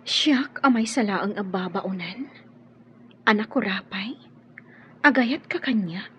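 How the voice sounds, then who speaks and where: A woman's voice: mid-range voice, animated, slow pace, muffled recording, a little hiss or hum. An actress with an Ilocano accent playing a modest, guarded young servant girl in a radio drama. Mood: timid, pleading.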